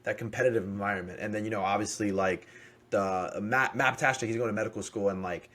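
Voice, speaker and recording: A young man in his twenties with a lowish voice hosts a relaxed podcast. He talks fast and keeps a supportive, buddy-like tone with his guest. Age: 20s. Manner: supportive, chummy, calls guest "bro". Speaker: podcast host. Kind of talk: casual podcast conversation.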